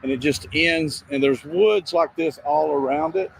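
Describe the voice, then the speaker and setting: A man narrating casually on location as a video streamer, speaking haltingly with pauses between phrases. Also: a little high, gravelly. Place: outdoors by a road and woods. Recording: outdoor noise, distant freeway. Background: freeway and ambient outdoor noise.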